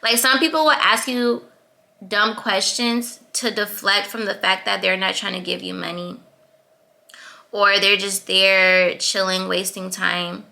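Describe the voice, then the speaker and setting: Sweet-voiced young woman, gravelly edge. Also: steady tone, a little slow, pushed and bright. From Atlanta, US. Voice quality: high-pitched sweet voice. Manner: assertive, chatty, laughs between points. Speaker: YouTuber. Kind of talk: casual advice vlog.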